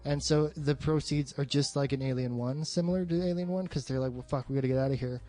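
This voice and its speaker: A man's voice: medium pitch, steady tone, quick pace, warm tone, soft background music. A man chatting casually as a podcast co-host, retelling a plot in colloquial, sweary language. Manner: profane, colloquial.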